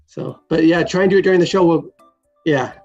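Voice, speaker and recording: A man hosting a casual video call show, briskly steering guests with quick asides. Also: on the high side, creaky, darkish tone, faint music behind. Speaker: podcast host. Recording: muffled lo-fi call audio.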